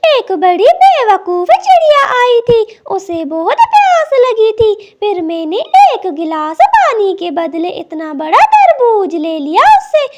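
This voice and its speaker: A woman's voice: very high-pitched, very animated, takes it slowly, hardly any pauses, exaggerated voice. A woman voicing a greedy, smug female crow in a cartoon, on a noisy recording. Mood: gloating.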